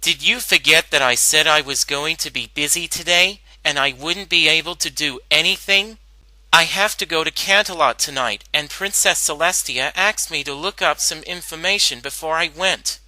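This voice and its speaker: A man's voice: on the high side, moderate pace, sharp tone, dry recording, voice pushed up, poor audio. A man playing a studious young female cartoon pony, curt, exasperated and matter-of-fact. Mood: annoyed.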